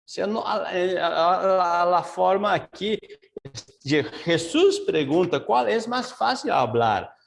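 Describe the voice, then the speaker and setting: A man leading a Bible study over a video call as pastor, mixing Portuguese with Spanish, slow and searching, with many fillers and asides. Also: high-pitched, lively intonation, gravelly.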